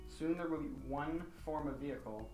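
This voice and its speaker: A man with a somewhat high voice chats casually as part of a discussion. He sounds like he is in an echoey room, with light music behind him. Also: moderate pace, darkish tone. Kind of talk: casual chat.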